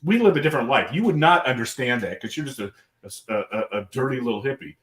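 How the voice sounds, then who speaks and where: An American man speaks quickly in a mid-range voice, spinning loose anecdotes as an interview guest. He rambles, swears casually and stammers over repeated words. The sound is roomy and echoing. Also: medium pitch, expressive, fairly bright tone. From American Midwest. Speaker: guest artist.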